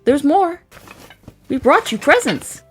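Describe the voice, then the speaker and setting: A woman voicing earnest, eager young cartoon crew members with expressive, theatrical phrasing, in a fan comic dub. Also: high voice, takes it slowly, faint music behind, exaggerated voice. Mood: upbeat, explaining.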